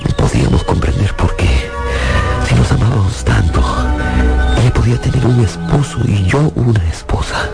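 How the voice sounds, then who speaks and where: A man's voice: expressive, normal speed. An actor playing an aging, melancholy man in love who tells his story in a radio drama, intimate and reflective. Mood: troubled, wondering. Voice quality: very deep, gravelly. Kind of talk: radio drama acting, first-person narration. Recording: loud music behind the voice. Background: loud music.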